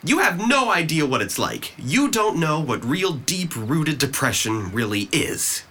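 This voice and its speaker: A man's voice: a little high, big pitch swings, measured pace, bright, forceful tone, exaggerated voice. A young man playing a bitter, sarcastic, depressed young man in an audio drama, speaking in a defensive, emphatic way. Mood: angry, despairing. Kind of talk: audio drama dialogue acting.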